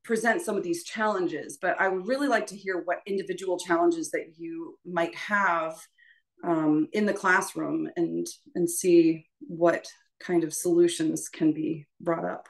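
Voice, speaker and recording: Informal, tentative woman teacher, lower-pitched voice. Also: fairly even intonation, unhurried, rough recording. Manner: tentative, self-deprecating, often trailing off. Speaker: voice teacher leading a workshop.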